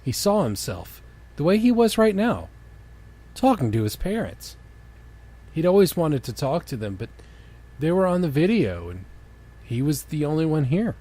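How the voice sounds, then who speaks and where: Animated man reading fan fiction aloud. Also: on the high side, moderate pace, pauses often. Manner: jokey, often breaking off to comment. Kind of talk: comic dramatic reading with casual banter.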